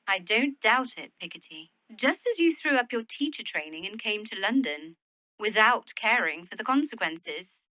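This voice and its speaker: An actress playing a proud, practical young Victorian widow in a period audio drama, speaking in a worldly, opinionated way. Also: female voice, medium pitch, big pitch swings, moderate pace, sharp tone, no room sound, low-quality recording. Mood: brisk, bossy. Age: young adult.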